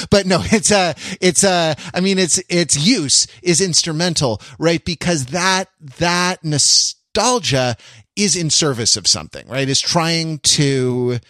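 A man hosting a podcast in a casual conversational style, thinking aloud in a halting, rambling and reflective way. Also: a little high, fairly bright tone, dry recording, studio quality. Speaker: podcast host.

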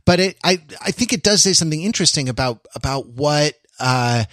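An American man co-hosting a podcast in casual analytical discussion, wordy and intellectual, often restarting his sentences haltingly. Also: on the high side, expressive, a bit bright, no room sound, studio quality. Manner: hesitant, halting delivery with repeated false starts. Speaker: podcast co-host.

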